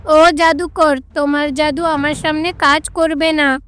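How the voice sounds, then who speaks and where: A woman's voice: high-pitched, flat delivery, measured pace, fairly bright tone, faint music behind, pitched above the performer's own voice. A woman voicing a proud, eager young warrior god in a cartoon, earnest and given to boasting. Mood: bold, confident.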